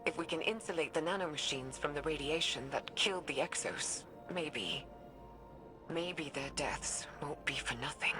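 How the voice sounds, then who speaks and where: An actress playing an anguished, guilt-ridden woman scientist dictating research logs in a measured, hesitant, breathy way, as in a dramatic video game. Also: low voice, close to an everyday voice. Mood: weary, measured. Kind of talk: dramatic video game voice acting, recorded log. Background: music.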